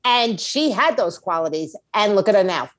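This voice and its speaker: A woman's voice: mid-range voice, animated, normal speed, dry recording. A woman giving conversational interview answers as a guest, speaking emphatically, repeating her points and posing rhetorical questions. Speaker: interview guest, music attorney and label owner.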